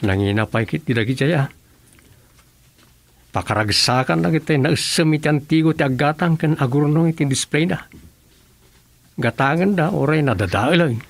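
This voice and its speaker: A man's voice: fairly high pitch, moderate pace, creaky, pauses often, no room sound. A man acting as a practical, scheming townsman in an Ilocano radio comedy drama, with an Ilocano accent. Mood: eager, persuasive.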